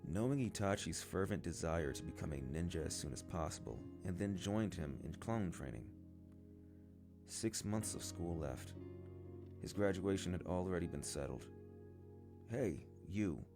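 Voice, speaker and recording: A man narrates a novel in a deep voice with noticeable fry. His intonation is lively as he reads the scripted prose. Guitar music plays clearly underneath. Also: moderate pace. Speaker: amateur story reader.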